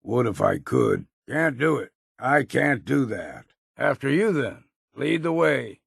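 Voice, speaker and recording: A crusty old male hunter from a video game, his voice thick with heavy gravel and husky breath. He speaks slowly in folksy, plain words, like a hard-drinking companion of few illusions. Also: medium pitch, very animated, dry recording, clean studio sound, exaggerated voice. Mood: curt. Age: older. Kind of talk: video game character dialogue.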